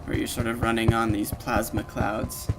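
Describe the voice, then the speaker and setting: Man narrating over loud game music. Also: mid-range voice, level tone, normal speed. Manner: informal, explanatory, addressing the listener as the player. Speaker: presenter of a video walkthrough, game sound designer. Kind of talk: casual walkthrough narration of a software demo. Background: video game music and sound effects.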